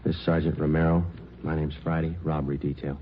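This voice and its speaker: Casting: terse radio detective sergeant, extremely low voice, deadpan delivery, muffled vintage broadcast. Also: male voice, quick pace, a little hiss or hum. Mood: businesslike. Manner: clipped, deadpan, matter-of-fact. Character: terse, businesslike male police detective sergeant narrating his case. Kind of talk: 1950s radio crime drama acting. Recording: muffled old radio recording, music behind.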